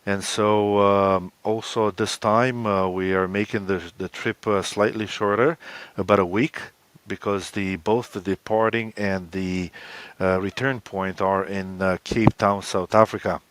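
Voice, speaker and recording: A man with a very low voice explains things in an interview. His phrasing is halting and hesitant, and the sound is dry and close, with very little room. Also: flat delivery, fairly bright tone, dry recording. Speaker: expedition co-leader, interview guest.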